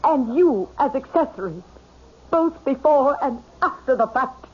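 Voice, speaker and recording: A woman's voice: high voice, animated, unhurried. An actress playing a haughty, hostile older mother-in-law who speaks sharply and accusingly in a 1970s radio drama. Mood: threatening, accusing. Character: imperious, hostile older mother-in-law, protective of her son. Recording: noisy, dull old radio broadcast.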